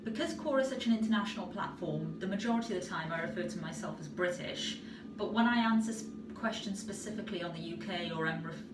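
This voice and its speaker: A woman's voice: lowish voice, quick pace. A young woman with a South Wales Valleys accent, chatting casually with lots of fillers and restarts. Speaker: video answerer. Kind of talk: casual spoken answer. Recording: roomy echo, far from mic, loud noise.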